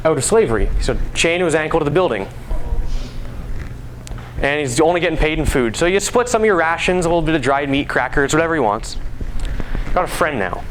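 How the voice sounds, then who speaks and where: A young man giving an informal speech to an audience in a conversational, playful storytelling manner. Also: a little high. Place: live talk before an audience at a school. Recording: noisy live recording, background ambience.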